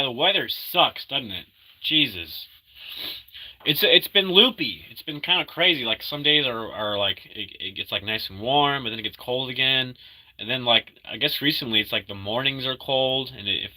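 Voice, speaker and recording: A man in his thirties, sick, chatting casually and halting often while reading viewers' comments. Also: medium pitch, pushed and bright, low-quality recording. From Argentina. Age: 30s. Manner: rambling, halting, full of fillers and restarts; upbeat when greeting viewers. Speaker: livestreamer reading chat. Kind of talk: casual live-stream chat.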